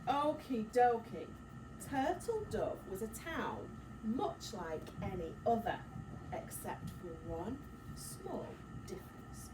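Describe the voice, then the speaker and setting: A woman primary school teacher leading an interactive story-time lesson, asking questions and explaining things simply to young children. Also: medium pitch. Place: echoey classroom with children. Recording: noisy echoey room, distant mic.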